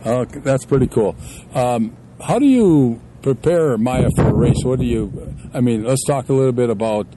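A man's voice: medium pitch, expressive, very noisy. Chatty man interviewing on local radio. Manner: chatty, laughs often, quick follow-up questions. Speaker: radio sports broadcaster.

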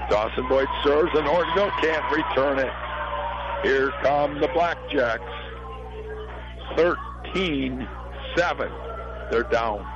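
A middle-aged American man with a hoarse, failing voice gives folksy live play-by-play commentary on a local volleyball game in short phrases. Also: medium pitch. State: hoarse, losing his voice from allergies. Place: high school gym. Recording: dull lo-fi radio broadcast sound.

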